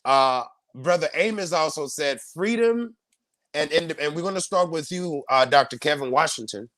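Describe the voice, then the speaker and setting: Guy hosting a live panel, kinda high voice, punchy preachy repeats, dead dry sound. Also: expressive, moderate pace, fairly bright tone, no room sound. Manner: emphatic, repeating key phrases in a preaching cadence. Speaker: host. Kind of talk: live online panel talk.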